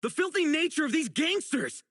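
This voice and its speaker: A man voicing an earnest, righteous young public security officer in a video game, dutiful and excitable, with dramatic delivery. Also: extremely high pitch, normal speed. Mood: outraged, shouting. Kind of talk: isolated game character voice lines, dramatic acting.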